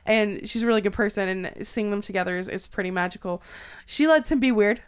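A woman hosting a podcast, talking casually with an excitable, self-mocking and emphatic manner. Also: medium pitch, quick pace, clean tone. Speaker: podcast host and writer. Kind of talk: casual chatty podcast intro.

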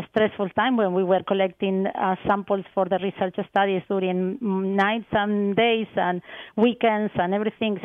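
A woman's voice: medium pitch, dry recording. A woman from Argentina, a research associate, answering interview questions on a call, hesitant with many fillers and restarts. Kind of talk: radio interview guest. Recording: tinny phone line.

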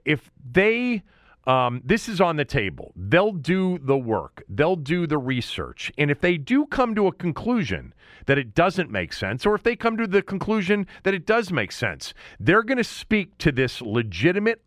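A man hosting a sports talk show, giving opinionated commentary in deliberate, emphatic phrases with points repeated for weight. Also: mid-range voice, fairly bright tone, no room sound, studio quality. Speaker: sports talk podcast host.

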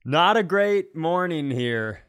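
A man's voice: on the high side, animated, slow, fairly bright tone, clear, resonant tone. An American man co-hosting a podcast, telling casual comedic stories in a rambling, self-deprecating way. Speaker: podcast co-host.

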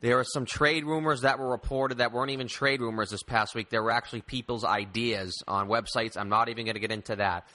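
A man's voice: mid-range voice, steady tone, a little fast, few pauses, sharp tone. A man hosting a casual sports interview, blunt with his opinions and repeating points for emphasis. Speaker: sports podcast host.

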